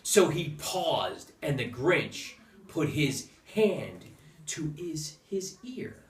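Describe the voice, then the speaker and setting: A man reading a rhyming children's story aloud in a theatrical way, with dramatic pauses. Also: on the high side, sing-song. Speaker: storybook reader. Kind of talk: expressive rhyming storybook narration. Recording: roomy echo, distant microphone.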